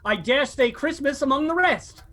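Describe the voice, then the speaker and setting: Actor as hearty, earnest young nephew. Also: male voice, very high-pitched, expressive, normal speed, bright, forceful tone, faint music behind, exaggerated voice. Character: cheerful, warm-hearted nephew of a miser. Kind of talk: radio play acting.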